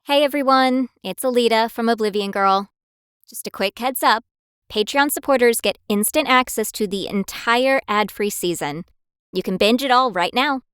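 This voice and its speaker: A woman's voice: on the high side, normal speed, fairly bright tone, clear, resonant tone, no echo, studio quality, close to an everyday voice. A woman playing a self-doubting young fighter who narrates her own story in an audio drama. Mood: upbeat announcement.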